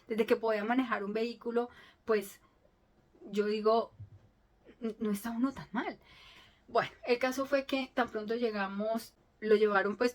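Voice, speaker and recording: A middle-aged woman, a native Spanish speaker, telling a personal story in an earnest, emotional way, with frequent restarts. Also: mid-range voice. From Colombia. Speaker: vlogger telling her story.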